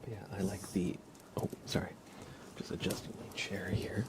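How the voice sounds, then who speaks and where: A man hosting an ASMR livestream, chatting gently and informally in a soft, breathy voice that often slips into a whisper, close to the microphone. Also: low-pitched, little pitch movement, moderate pace. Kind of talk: soft-spoken ASMR, casual live chat.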